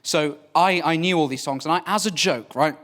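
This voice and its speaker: A man's voice: fairly high pitch, moderate pace, a bit bright. A man in his twenties, raised in Wales with Welsh as his first language, telling a humorous story as a speaker at a church gathering. He talks in a chatty, self-mocking way with many fillers and calls his listeners folks. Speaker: preacher. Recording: echoey hall from far off, faint noise.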